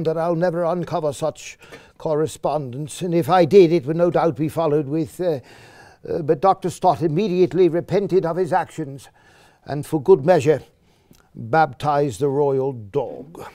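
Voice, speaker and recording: An actor playing a wry, exasperated biographer, speaking in a deliberate, pompous, drily ironic theatrical monologue with hesitations. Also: male voice, on the high side, warm tone, dry recording, close to an everyday voice. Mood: wry, earnest. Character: wry, exasperated male biographer addressing his old friend. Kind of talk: theatrical monologue reading a letter aloud.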